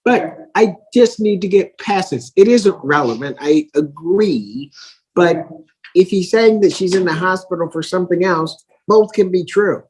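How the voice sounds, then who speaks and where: A man with a husky voice speaking as a judge in a courtroom, reasoning aloud in halting, repeated phrases. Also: high-pitched, lively intonation, a little mellow, poor audio. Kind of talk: courtroom ruling, spontaneous questioning.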